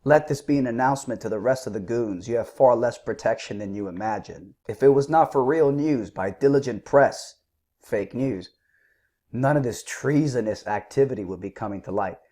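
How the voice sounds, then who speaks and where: A man with a sore throat giving combative, scornful political commentary, clearing his throat now and then. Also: mid-range voice, normal speed, mellow. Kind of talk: opinionated commentary.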